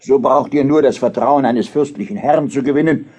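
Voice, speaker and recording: A man playing a proud, practical father in a period radio play. His voice is husky and mid-pitched, his manner formal and admonishing. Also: moderate pace, dark tone, close to an everyday voice. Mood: stern, puzzled. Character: proud, worldly, pragmatic middle-aged father. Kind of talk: historical audio drama acting.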